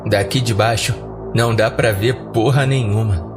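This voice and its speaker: A man's voice: fairly low pitch, steady tone, moderate pace, over music. A man with a Brazilian accent narrating an audio story in a colloquial, conversational storytelling way. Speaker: horror story podcast narrator. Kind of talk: audiodrama narration in first person.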